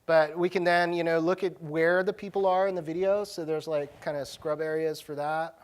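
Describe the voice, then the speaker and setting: A man's voice: on the high side, steady tone, normal speed, clean tone. A man presenting a live tech demo at a conference session, speaking in an informal, slangy, casual way. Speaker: conference presenter, technology director.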